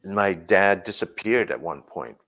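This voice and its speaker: A man from Burma telling his personal story in a reflective way, pausing and restarting as he talks. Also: low voice, lively intonation, fairly bright tone. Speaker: speaker sharing his story.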